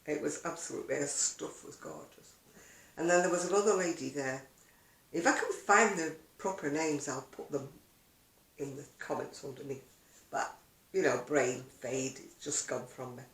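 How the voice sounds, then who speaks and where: A woman's voice: deep voice, moderate pace. An older woman chatting casually to camera as a video blogger, rambling along with self-deprecating asides in an echoey room. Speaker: video blogger and craft seller.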